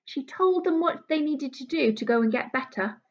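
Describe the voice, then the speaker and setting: Female British primary teacher, voice on the high side, reading and explaining a picture book to young kids; slightly dull, lo-fi sound. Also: lively intonation, normal speed. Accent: British English. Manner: explanatory, speaking simply as to young children. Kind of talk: children's story reading with simple explanations.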